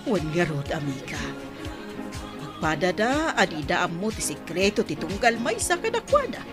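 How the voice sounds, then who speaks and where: A woman's voice: fairly low pitch, sing-song, moderate pace, over music. An actress with an Ilocano accent playing a chatty, reassuring elderly village grandmother in a radio comedy drama. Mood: gossipy.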